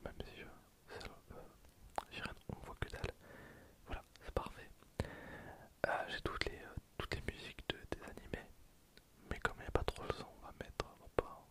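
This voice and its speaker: A person whispering casual, slangy ASMR commentary over a video game, in breathy, hesitant phrases that trail off. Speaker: host of an ASMR gameplay channel.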